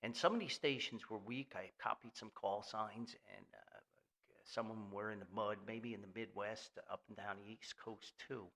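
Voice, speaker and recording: A man's voice: on the low side, normal speed, gravelly, a bit bright. A man hosting a casual solo podcast, talking in a rambling, folksy way with conversational asides. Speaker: podcast host.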